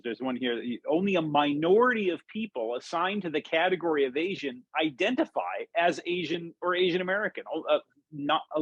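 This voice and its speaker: A man moderating an informal discussion, speaking haltingly as he cites written passages, on a slightly muffled recording. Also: fairly high pitch, breathy edge, no echo. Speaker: interviewer, moderator.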